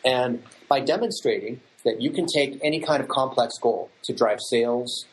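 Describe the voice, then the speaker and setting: A man who is a marketing expert speaks as a guest. He sounds sure of himself and lays out his method point by point, pitching it. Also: moderate pace, poor audio. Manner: assured, promotional, lists points. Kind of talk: confident explanation.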